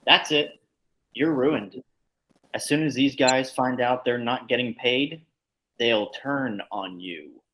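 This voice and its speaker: An accusing ghost of a cavalry soldier, read by a man at a table read, with a medium-pitched voice. He needles like someone with a grudge, in a western drama. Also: slow, a bit bright, rough recording.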